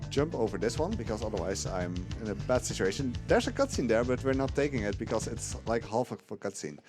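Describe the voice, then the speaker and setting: A man's voice: fairly low pitch, quick pace, over music. Laid-back giggly man commentating a speedrun. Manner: laughs often, relaxed explaining.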